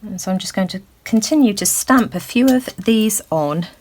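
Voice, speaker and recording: A woman hosting a craft tutorial, thinking aloud with lots of ums and a slightly croaky voice. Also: medium pitch, lively intonation, moderate pace, darkish tone, a little hiss or hum. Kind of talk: casual crafting demonstration. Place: indoors at a craft table.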